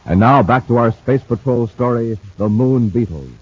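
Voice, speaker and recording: A man announcing a vintage radio show and reading its ads with an energetic sales pitch. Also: moderate pace, slightly rough. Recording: dull old radio recording.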